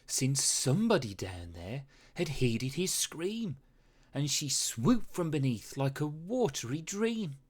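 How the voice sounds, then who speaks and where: A storybook narrator reads rhyming verse for children. The delivery is unhurried and full of dramatic swings, with expressive phrasing throughout. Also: mid-range voice, slightly rough. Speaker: storybook reader. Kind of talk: children's read-aloud narration in rhyme.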